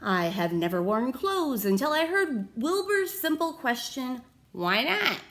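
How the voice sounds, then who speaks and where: A woman's voice: high voice, big pitch swings, unhurried, fairly bright tone, exaggerated voice. Woman playing a regal, proclaiming old grandpa mole rat. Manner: stately, proclaiming.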